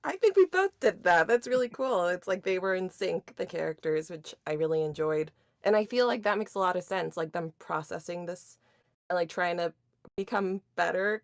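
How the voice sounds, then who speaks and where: A woman's voice: lowish voice, moderate pace, no room sound, clean studio sound. A woman in a casual discussion, talking informally and appreciatively.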